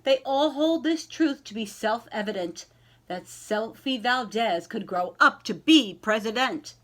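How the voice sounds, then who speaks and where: Woman, fairly high voice, children's storytime host, expressive delivery, dramatic pauses, encouraging manner. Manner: dramatic pauses, stretched key words, encouraging. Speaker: library read-aloud host. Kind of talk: expressive children's storybook read-aloud, then chat to young listeners.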